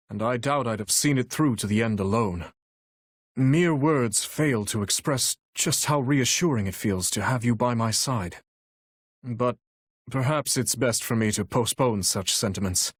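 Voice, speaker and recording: A man voicing an earnest, courteous young warrior king with a heavy burden for a video game, speaking in formal, measured, courtly diction with a husky voice. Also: medium pitch, no echo, clean studio sound. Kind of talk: video game character voice lines.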